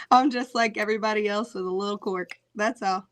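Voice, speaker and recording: A woman's voice: mid-range voice, expressive. Laughing, chatty woman singer. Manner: chatty, laughs often, many "um" pauses. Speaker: singer, interview guest. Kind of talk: casual interview chat.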